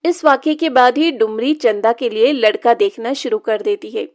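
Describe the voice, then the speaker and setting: A woman's voice: fairly high pitch, moderate pace. A woman narrating a scripted moral story as its narrator.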